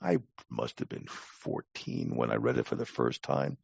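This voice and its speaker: An older man with an American accent giving reflective interview answers as a guest, halting and repeating words as his thoughts form. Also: a bass voice, wildly swooping pitch, vocal fry, slightly rough, no room sound, highs cut off. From Long Island, United States. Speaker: podcast guest, talent acquisition advisor.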